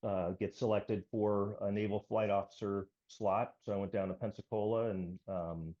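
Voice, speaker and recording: An American man in his fifties, a podcast guest recounting his past in a genial, measured way with hesitant phrasing. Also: on the low side, fairly even intonation, mellow. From United States. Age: 50s. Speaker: podcast guest, military veteran. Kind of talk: conversational interview, recounting. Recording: slightly dull, lo-fi audio.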